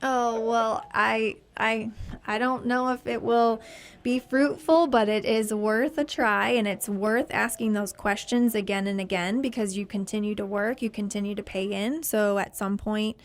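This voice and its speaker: A woman's voice: medium pitch, steady tone, measured pace. Woman host explaining things patiently. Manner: friendly, explanatory, answers questions patiently. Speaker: financial advisor host. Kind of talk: call-in advice show.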